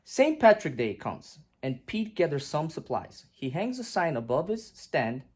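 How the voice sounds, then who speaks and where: Husky, lively woman reading a storybook. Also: low-pitched, unhurried. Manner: expressive, with emphatic swings and hushed drops. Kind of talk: animated children's picture-book read-aloud.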